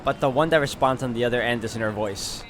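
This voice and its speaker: A man's voice: mid-range voice, fast, heavy background noise. A man reading first-person visual novel narration aloud as he plays through the game.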